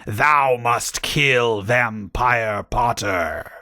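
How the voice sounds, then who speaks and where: A man voicing a cruel, pompous dark wizard villain in a story, drawing out archaic commands. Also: mid-range voice, no room sound, clean studio sound. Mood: cruel, commanding. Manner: slow archaic commands, drawn out menacingly.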